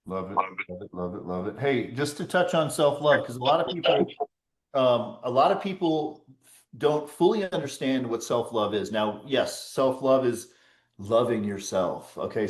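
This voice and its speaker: A man's voice: medium pitch, unhurried. Encouraging man hosting a group call. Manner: encouraging, emphatic, repeats himself. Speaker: host of sobriety support call. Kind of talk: casual group chat. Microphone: video call. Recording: roomy echo.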